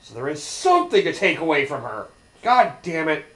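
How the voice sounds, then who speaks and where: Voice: medium pitch, very animated, moderate pace, a bit bright, roomy sound. A let's-play commentator reacting casually to cutscenes, laughing often and swearing.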